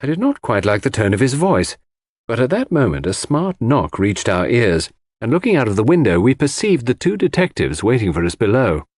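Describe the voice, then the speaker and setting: A man's voice: mid-range voice, very animated, moderate pace, darkish tone, no echo, studio quality. A man reading scripted first-person mystery narration for an audiobook.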